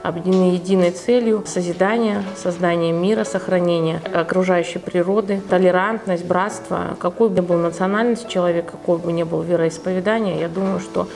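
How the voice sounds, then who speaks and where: Earnest woman, low voice with lots of vocal fry, street interview. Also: steady tone, a little fast, few pauses, darkish tone, soft background music. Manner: earnest, idealistic. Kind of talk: earnest vox-pop answer.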